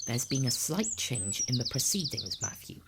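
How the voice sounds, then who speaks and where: Audio-story clergyman, played by a woman: genial, discreet old priest, very deep, creaky, understated. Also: sing-song, normal speed, heavy background noise, full character voice. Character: genial, discreet elderly male village priest.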